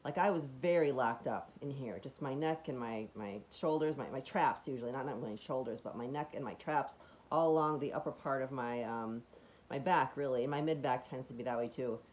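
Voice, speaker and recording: A woman with a raspy, deeper voice chatting casually at home as she demonstrates a device, rambling enthusiastically with many fillers and restarts. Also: darkish tone.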